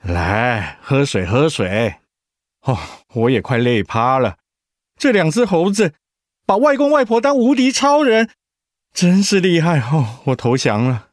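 A man's voice: a little high, big pitch swings, measured pace, no echo, studio quality. A man playing a doting, practical retired husband and grandfather with a Taiwanese Mandarin accent in a radio drama. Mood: playful, content.

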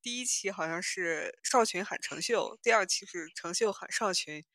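A woman's voice: mid-range voice, moderate pace, pushed and bright, no room sound. A woman co-hosting a podcast, talking informally and correcting herself as she explains. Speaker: podcast co-host. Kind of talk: casual chat about audio dramas.

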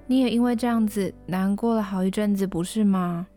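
A woman's voice: mid-range voice, brisk, dark tone, soft background music, close to an everyday voice. An actress with a Taiwanese Mandarin accent playing a caring, thoughtful young woman dorm mate in a radio drama. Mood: gentle, earnest. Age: young adult.

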